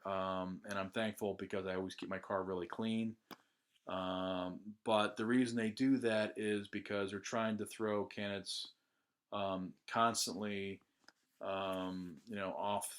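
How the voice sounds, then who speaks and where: An American man talking casually about his own job search, with plenty of "uh" and "um" pauses. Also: lowish voice, fairly bright tone. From United States. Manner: conversational, with frequent "uh" and "um" pauses. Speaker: job candidate sharing a testimonial. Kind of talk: casual first-person testimonial.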